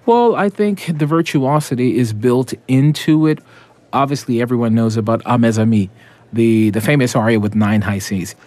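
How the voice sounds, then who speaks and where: A man's voice: medium pitch, moderate pace, darkish tone. A man, an opera tenor, giving reflective interview answers with small restarts.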